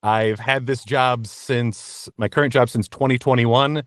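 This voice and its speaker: A man answering interview questions candidly as a voice actor, in an earnest, reflective and halting conversational manner. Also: mid-range voice, fairly even intonation, a bit bright, no room sound, clean studio sound. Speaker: interview guest, voice actor and narrator.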